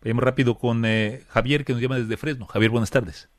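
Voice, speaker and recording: A man hosting a radio call-in show from a studio, speaking in a measured way with frequent "eh" fillers. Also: mid-range voice, fairly even intonation, dry recording.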